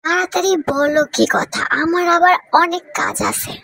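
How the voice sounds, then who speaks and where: A woman's voice: very high voice, fairly even intonation, normal speed, sharp tone, no echo, exaggerated voice. A woman playing an irritable, overworked housewife in a cartoon, scolding and grumbling. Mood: irritated. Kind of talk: Bengali cartoon dub.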